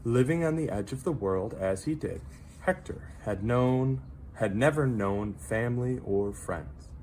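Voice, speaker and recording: A reader narrating a children's story aloud, with dramatic, drawn-out emphasis on key words. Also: medium pitch, a little mellow, a little hiss or hum, rough recording.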